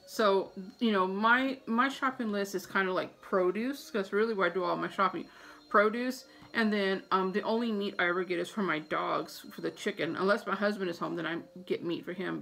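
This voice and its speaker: Creaky-voiced American woman, chatty and informal. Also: medium pitch, a bit bright, poor audio. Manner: chatty, informal, repeats points for emphasis. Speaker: vlogger giving household tips. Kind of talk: casual advice vlog to camera. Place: small room at home, indoors. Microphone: phone or tablet camera at about arm's length.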